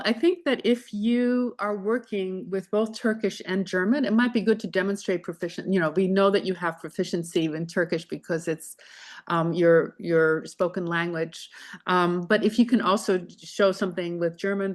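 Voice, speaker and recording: A woman hosting an online information session, moderating questions in a measured way with fillers. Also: mid-range voice, fairly even intonation. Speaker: faculty host of info session. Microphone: video call.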